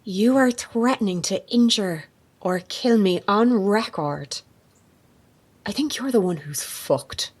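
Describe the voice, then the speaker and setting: An actress playing a blunt, sceptical reporter in an audio drama, sarcastic and confrontational. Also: female voice, mid-range voice, expressive, takes it slowly, darkish tone, husky. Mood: defiant. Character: defiant, sardonic female reporter, sceptical and blunt.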